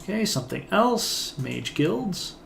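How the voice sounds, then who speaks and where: A man's voice: high-pitched, very animated, slow pace, gravelly, a bit bright, a little hiss or hum. A man casually reading a game's dialogue and narration aloud, often hesitating as he reads. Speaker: game let's player reading dialogue aloud.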